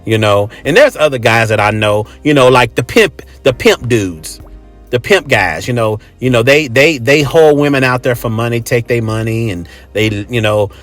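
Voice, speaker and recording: A man's voice: mid-range voice, lively intonation, moderate pace, fairly bright tone, faint music behind. An American man hosting a casual, opinionated monologue, speaking bluntly and emphatically with swearing and repeated phrases. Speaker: host. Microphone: close microphone.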